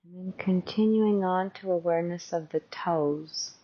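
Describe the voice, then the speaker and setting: A woman leads a guided meditation at a very slow pace, in a fairly low voice. Her delivery is gentle and conversational, like a teacher softly instructing. The recording sounds slightly dull and lo-fi.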